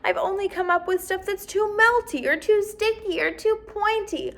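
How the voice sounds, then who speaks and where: A woman's voice: very high voice, normal speed, voice pushed up. A woman voicing a worried young schoolgirl in a storybook, fretful and plaintive. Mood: worried, upset.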